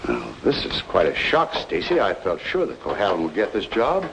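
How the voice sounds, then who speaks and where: A courteous, lowish-voiced businessman. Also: wildly swooping pitch, moderate pace, creaky, fairly bright tone, heavily acted. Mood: concerned. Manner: polite, placating. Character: courteous, conciliatory businessman backing his friend. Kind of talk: old-time radio drama acting. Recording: faintly noisy old radio recording.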